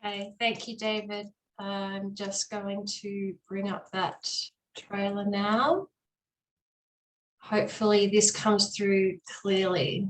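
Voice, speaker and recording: A woman's voice: medium pitch, flat delivery, slow pace, poor audio. A woman hosting an online event and introducing speakers. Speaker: event host.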